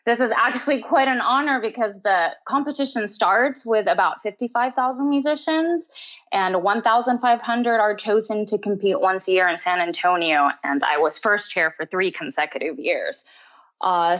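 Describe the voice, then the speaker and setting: A woman's voice: medium pitch, expressive, moderate pace, a bit bright, lo-fi sound. A young adult woman from Texas, a flutist and festival director, telling her career story in a friendly podcast interview and laughing often. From Texas, USA.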